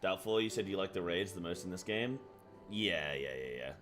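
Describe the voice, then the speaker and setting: A man streaming from his apartment, chatting casually, thinking aloud and reading chat aloud. He has a deep, carrying voice and talks loudly by habit. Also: lively intonation, normal speed. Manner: loud by habit. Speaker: live streamer. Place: apartment room at home.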